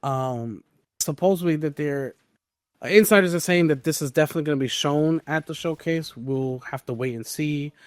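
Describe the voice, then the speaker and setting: A man's voice: a little high, moderate pace, dry recording, clean studio sound. A man hosting a podcast and steering the topics in casual gaming news chat.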